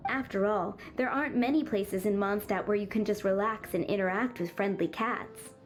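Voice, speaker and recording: A woman playing an easygoing, welcoming cat tavern keeper in a video game, relaxed and amused. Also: medium pitch, normal speed, faint music behind, close to an everyday voice.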